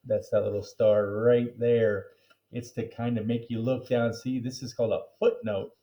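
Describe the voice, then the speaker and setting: A man's voice: medium pitch, flat delivery, normal speed, mellow. A man reading a children's chapter book aloud, with playful, jokey asides to his listeners. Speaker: reader.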